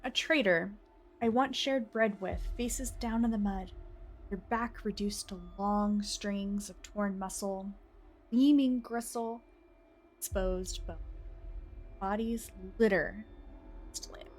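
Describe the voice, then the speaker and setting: A woman reading a visual novel aloud as a game streamer, with expressive, theatrical delivery. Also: medium pitch, slow pace, long pauses, poor audio. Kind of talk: dramatic narration of a visual novel with casual asides.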